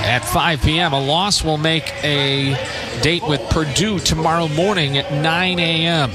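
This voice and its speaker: A man with an American accent and a slightly high voice calls a baseball game on the radio. He describes the play in measured, short phrases. Music and outdoor ambience are clearly heard behind him. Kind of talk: live radio sports commentary.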